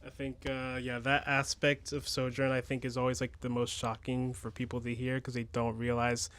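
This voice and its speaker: Man, young adult, mid pitch, relaxed, reflective, laughs, filler "like". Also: moderate pace. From New Jersey and Nigeria. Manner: relaxed, reflective, laughs often, says "like" a lot. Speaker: nightlife event organizer. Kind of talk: casual radio chat.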